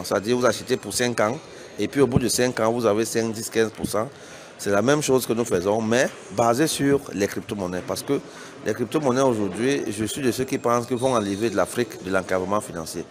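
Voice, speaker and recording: A middle-aged man from Cameroon whose first language is Bassa, giving an earnest, persuasive spoken statement to reporters, hesitating and restarting often. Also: slight background noise. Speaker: business founder giving a press statement.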